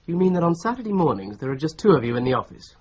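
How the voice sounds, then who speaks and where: An actor playing an inquisitive newcomer to an office in an old radio drama. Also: male voice, on the high side, big pitch swings, racing, a little mellow. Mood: probing. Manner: inquisitive, probing. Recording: slightly dull old radio recording.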